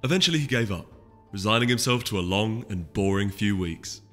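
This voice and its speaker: Guy reading a story aloud, animated delivery, echoey hall sound, music behind. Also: on the low side, a little fast. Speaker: audiobook reader. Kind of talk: scripted story narration.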